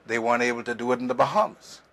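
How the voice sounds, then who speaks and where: A man's voice: medium pitch, very fast, fairly bright tone. A man, a guest historian explaining at length in a conversational interview, emphatic, with fillers and restarts. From Turks and Caicos Islands.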